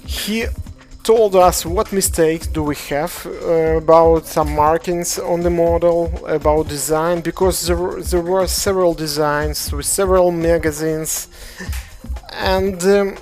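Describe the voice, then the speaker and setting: A speaker from Ukraine with a Ukrainian accent, a game developer casually walking through models on a livestream and answering chat in halting English full of hesitations. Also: medium pitch, fairly even intonation, a little mellow, faint music behind. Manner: halting non-native English, frequent hesitations.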